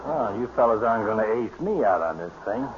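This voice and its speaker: A man's voice: lowish voice, big pitch swings, quick pace. A man playing a competitive, gallant hunting buddy in a 1950s radio comedy, emphatic and theatrical. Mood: gallant one-upmanship. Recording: muffled, very noisy 1950s radio recording.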